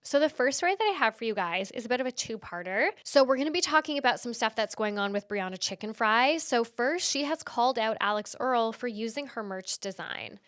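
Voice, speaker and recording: A woman's voice: a little high, lively intonation, brisk, few pauses, fairly bright tone, no echo, studio quality. A woman in her early thirties hosting a casual solo podcast, chatty and full of fillers, sniffly and stuffed up from hay fever. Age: early 30s. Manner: chatty, heavy use of "like". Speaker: podcast host.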